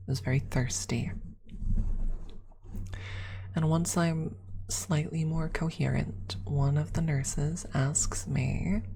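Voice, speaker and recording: A woman streamer telling a story in a soft, breathy ASMR style, speaking haltingly and often slipping into a whisper close to the microphone. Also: low-pitched, slight background noise. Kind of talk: soft-spoken ASMR storytelling to a live chat.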